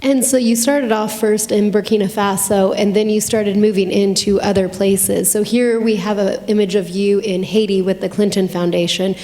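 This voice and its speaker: A woman's voice: medium pitch, steady tone, moderate pace, few pauses, warm tone. A woman hosting a live on-stage interview as a curator, putting questions to her guest. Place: public talk in a hall. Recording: echoey hall, faint background noise.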